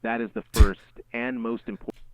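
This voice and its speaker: A man playing a solemn official announcer, reading scripted horror warnings in a measured, instructional manner. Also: mid-range voice, fairly even intonation, creaky, a little hiss or hum, natural voice, poor audio. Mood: ominous. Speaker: narrator of an analog horror broadcast.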